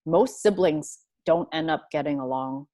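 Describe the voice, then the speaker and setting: A woman's voice: sits low, moderate pace, dark tone, dry recording, studio quality. A woman from Hong Kong answering as a panelist in a discussion, earnest and thoughtful, with frequent fillers and restarts.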